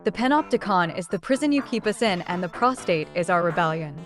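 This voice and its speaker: A woman's voice: fairly low pitch, expressive, a little fast, faint music behind. A woman reading short viewer chat messages aloud.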